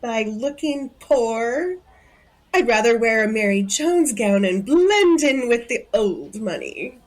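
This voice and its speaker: A woman's voice: on the high side, animated, slow. An actress playing a smug, vain socialite in an audio drama, haughty and mocking in her delivery. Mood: haughty, amused. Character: smug, vain, theatrical body-stealing socialite woman.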